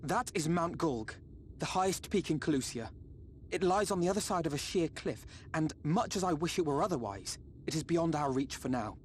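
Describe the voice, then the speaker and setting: An actor playing an earnest, courteous, compassionate young nobleman in a video game, speaking in a formal, measured way. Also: on the high side, lively intonation, a little hiss or hum, natural voice. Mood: grave. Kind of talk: video game cutscene acting. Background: music.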